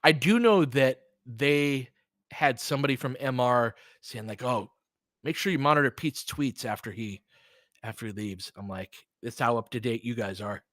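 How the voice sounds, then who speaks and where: A man's voice: mid-range voice, normal speed, a bit bright, no echo. Man, dry close-sounding casual commentary. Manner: conversational, rambling, candid. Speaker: host doing audio play-by-play. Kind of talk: casual chatty game commentary with stories and asides.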